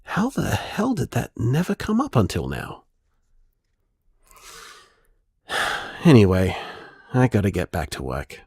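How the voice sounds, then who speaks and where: Husky, rambling boyfriend. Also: male voice, lowish voice, huge pitch swings, moderate pace, darkish tone, no echo, studio quality, heavily acted. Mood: sheepish, bemused. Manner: rambling, casual, conversational. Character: man in long relationship, thoughtful, awkwardly candid boyfriend. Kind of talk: audio drama voicemail monologue.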